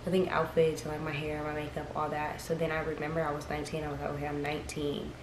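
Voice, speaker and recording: A young woman in her early twenties talks to camera in a rambling, frank, chatty way. Her voice sits low and stays fairly flat. The room sounds echoey, with a clear hiss of noise behind her. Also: quick pace. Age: early 20s. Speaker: vlogger. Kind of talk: casual chat to camera.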